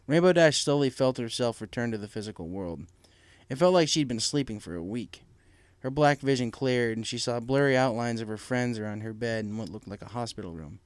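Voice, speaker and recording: A man's voice: medium pitch, a little fast, clean tone, dry recording. A man reading scripted story narration as the narrator of a fan fiction audiobook. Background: music.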